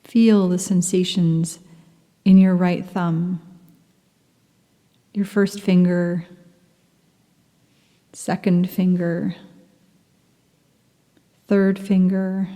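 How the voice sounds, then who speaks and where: A woman guiding a yoga nidra meditation, speaking softly and soothingly, sometimes dropping into a half-whisper. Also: on the low side, level tone, big-room sound. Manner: soft, slow, soothing, half-whispered at times. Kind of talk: guided meditation.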